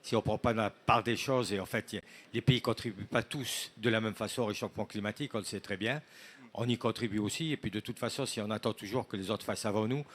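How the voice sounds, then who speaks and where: A man's voice: on the low side, fast talker. A man speaking on a conference panel, presenting a report in semi-prepared talk and listing points with emphatic repetition. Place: conference room, speaking to an audience.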